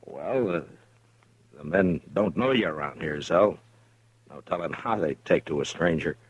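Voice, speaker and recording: A calm, laconic frontier marshal in a very low, husky voice. He speaks dryly and close to the mic on an old, dull-sounding radio broadcast. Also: unhurried, dry recording, exaggerated voice. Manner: calm, laconic, dry chuckles. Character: Matt Dillon, steady grown male frontier marshal. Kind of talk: 1950s radio western acting.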